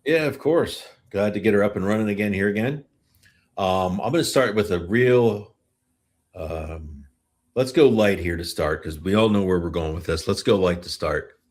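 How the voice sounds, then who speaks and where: Low-voiced man hosting a sports podcast. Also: moderate pace. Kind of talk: casual sports commentary and interview.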